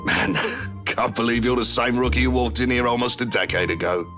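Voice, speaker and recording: A man voicing a gruff, blunt middle-aged barman who teases in colloquial game dialogue. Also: medium pitch, a little fast, lightly acted. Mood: wry, fond. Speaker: voice actor in a visual novel. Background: background music.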